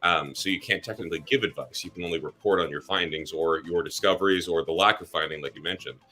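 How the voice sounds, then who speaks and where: A man's voice: sits low, quick pace, sharp tone, soft background music. A man who grew up in Germany, hosting a live stream as a realtor, chatting in a rambling way with many fillers. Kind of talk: casual livestream chat.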